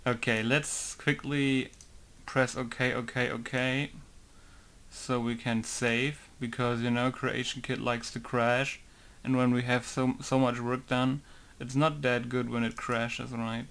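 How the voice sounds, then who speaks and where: A man's voice: mid-range voice, little pitch movement, a little slow, a bit bright, clear, resonant tone. Man muttering through a modding tutorial. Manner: muttering to himself, thinking aloud. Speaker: game modder. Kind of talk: casual tutorial while working.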